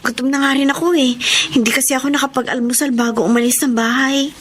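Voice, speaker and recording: An actress playing a meek, weary teenage wife in a radio drama, plaintive and submissive. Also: female voice, high-pitched, level tone, fast talker. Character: meek, weary nineteen-year-old young wife.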